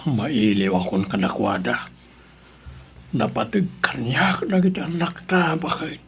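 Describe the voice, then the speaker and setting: An actor plays a weary old farmer in a radio drama. His voice is creaky and hoarse-edged, and he comes across as stubborn yet devoted to his children. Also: medium pitch, sing-song, measured pace, heavily acted. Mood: wistful. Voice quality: gravelly, raspy.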